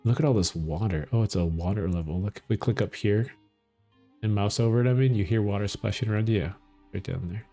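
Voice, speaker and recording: A middle-aged man with a Minnesota accent, explaining a game in a relaxed, easygoing way. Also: on the low side, a little fast, soft background music. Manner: relaxed, explanatory, chuckles often. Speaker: gaming channel host. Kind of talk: casual gameplay commentary.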